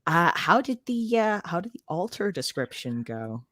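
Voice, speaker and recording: A woman guesting on a writing stream in casual chat, relaxed and quick to murmur soft agreement. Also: lowish voice, dry recording, clean studio sound. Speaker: guest writer.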